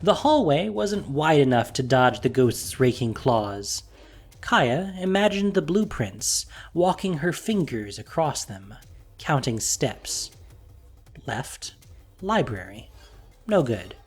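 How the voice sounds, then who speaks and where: Unhurried, expressive male story narrator. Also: medium pitch, slightly rough. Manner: measured, expressive reading.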